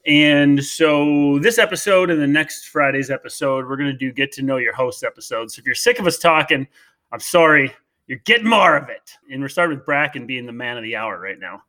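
A man's voice: mid-range voice, lively intonation, brisk, bright, forceful tone. A man with a Midwestern American accent, co-hosting a podcast and interviewing his co-host in a joking, chatty way. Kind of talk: casual interview chat.

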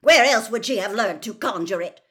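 A woman's voice: mid-range voice, animated, normal speed, fairly bright tone, pitched above the performer's own voice, close to an everyday voice. A woman voicing a stern, coldly angry senior male government wizard with a curt, formal, barking delivery in an audiobook. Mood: furious.

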